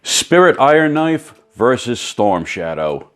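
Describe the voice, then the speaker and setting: A hoarse man hosting a toy review video, chatty and informative. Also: mid-range voice, sing-song, slow, fairly bright tone.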